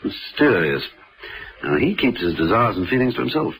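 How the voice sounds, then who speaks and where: An actor playing an urbane, musing gentleman agent with a British accent in a vintage radio drama. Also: fairly low pitch, sing-song, normal speed, a little hiss or hum, low-quality recording. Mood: musing, suave.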